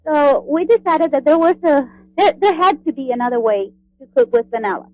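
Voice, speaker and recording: A woman, talking in a friendly, halting way. The sound is dull and lo-fi, like a phone line, and very dry. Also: sits high, expressive, darkish tone, dry recording. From Honduras. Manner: friendly, halting with many fillers. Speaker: guest, cookbook author. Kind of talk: radio interview.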